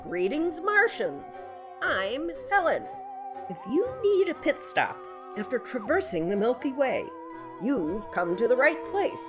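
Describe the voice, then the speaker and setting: A woman playing a kooky, folksy, alien-obsessed shopkeeper who pitches theatrically in a parody local radio commercial. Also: sing-song, a little slow. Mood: cheery sales pitch. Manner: theatrical, folksy, dramatic salesmanship. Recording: music bed under the ad.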